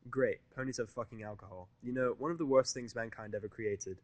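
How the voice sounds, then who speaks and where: A man's voice: medium pitch, brisk, no room sound. A man narrating a scripted first-person story as an audio fiction reader.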